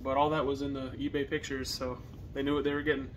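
A young man with an American accent chatting casually to camera as a reseller, with halting phrasing. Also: mid-range voice, little pitch movement. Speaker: reseller co-hosting a video channel. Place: partially finished basement, indoors. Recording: noisy basement room recording.

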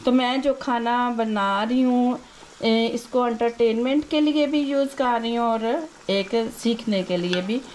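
A woman with a sore, hoarse throat hosting a home cooking video, chatting her way through each step. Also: fairly high pitch, a little slow, darkish tone, slight background noise, poor audio. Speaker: cooking channel host. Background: frying and sizzling in a kitchen.

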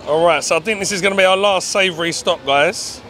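Chatty food vlogger, a man in his thirties, slightly high voice, talking to camera outdoors with faint street noise around. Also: brisk, pushed and bright. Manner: chatty, enthusiastic, addresses viewers as guys. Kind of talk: casual street food vlog. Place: outdoor night market. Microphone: handheld camera at arm's length.

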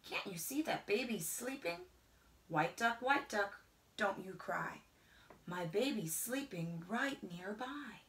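A middle-aged woman reads a picture book aloud to children in a rhythmic, singsong way, often dropping into hushed whispers. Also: mid-range voice, a little hiss or hum. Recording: roomy indoor echo.

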